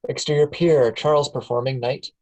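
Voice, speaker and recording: A man reading the scene directions aloud in a steady, plain way at a film table read. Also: medium pitch, moderate pace, dull audio.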